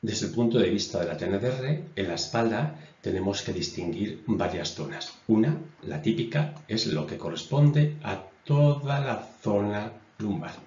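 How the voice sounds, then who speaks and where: A man in his sixties, a naturopath and doctor, giving a measured lecture from a webcam at his desk. He repeats key phrases and uses frequent "eh" fillers. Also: fairly low pitch, animated, dark tone. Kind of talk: explanatory lecture while drawing on a diagram. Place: indoors in front of a stone wall. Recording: roomy echo, dull lo-fi sound.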